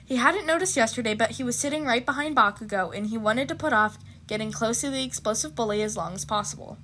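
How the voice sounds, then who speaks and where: A woman's voice: on the high side, fast, pushed and bright, a little hiss or hum. A woman narrating fan fiction expressively, with casual asides.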